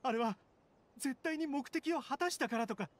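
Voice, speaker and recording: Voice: sits high, lively intonation, normal speed. An actor voicing an earnest, anxious townsman, a younger brother who pleads formally and very politely, in a Japanese anime game. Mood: worried.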